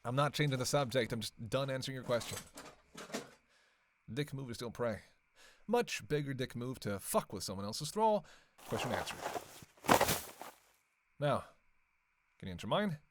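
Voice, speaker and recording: A man's voice: medium pitch, lively intonation, moderate pace, pauses often, noisy background, close to an everyday voice. A man playing a sarcastic, teasing vampire in a casual roleplay monologue, needling playfully and hesitating as he goes. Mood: teasing, snarky. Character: sarcastic, teasing male vampire on a road trip.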